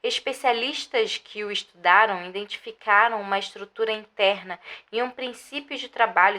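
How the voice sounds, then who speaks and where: A woman with a Brazilian Portuguese accent playing a researcher, reading a scripted scientific report in a formal, measured way. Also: medium pitch, bright, forceful tone, natural voice. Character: female researcher presenting formal findings on an anomaly. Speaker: narrator.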